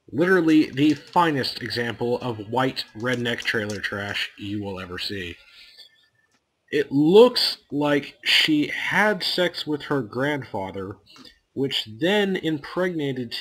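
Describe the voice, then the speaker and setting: Male casual storyteller on a voice call, average pitch, crude joking delivery, swears freely. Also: a bit bright, poor audio. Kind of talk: casual anecdote telling. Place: online voice call.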